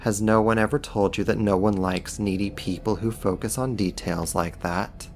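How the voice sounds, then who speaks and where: A man's voice: on the low side, steady tone, normal speed, soft background music, close to an everyday voice. A man playing a powerful, sly demon in an ASMR roleplay, sarcastic and teasing with condescending mock politeness. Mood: condescending, taunting. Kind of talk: ASMR roleplay, slow scripted monologue to the listener.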